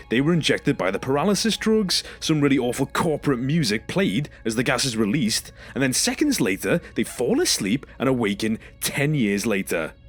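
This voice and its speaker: A man's voice: a little high, slightly rough, heavily acted. A man acting in an audio drama as a distressed, sarcastic and exasperated survivor, indignant and pleading.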